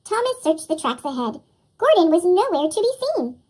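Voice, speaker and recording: Storybook reader, female, squeaky chipmunk-shifted voice, very high, lively and expressive delivery for young children. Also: moderate pace. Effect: sped-up chipmunk pitch shift. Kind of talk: expressive children's read-aloud narration.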